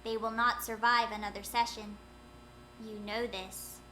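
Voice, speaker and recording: A woman's voice: medium pitch, little pitch movement, measured pace, sharp tone, a little hiss or hum, low-quality recording. A woman voicing a stern woman negotiator who speaks in a cold, clipped and formal way, in a fan audio drama. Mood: cold anger.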